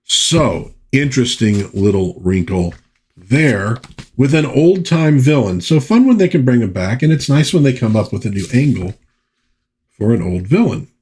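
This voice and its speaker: A man hosting a casual review, talking in a halting, musing way with pauses and repeated phrases. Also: medium pitch, big pitch swings, a little mellow, slightly rough. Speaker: host of a comic book review series.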